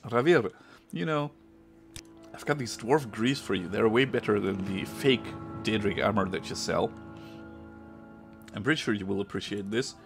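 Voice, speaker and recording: A man with a German accent, a non-native English speaker, giving chatty let's-play commentary and sometimes pausing to search for a word. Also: on the low side, over music. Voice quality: gravelly. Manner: chatty, pauses to search for words. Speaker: gameplay streamer.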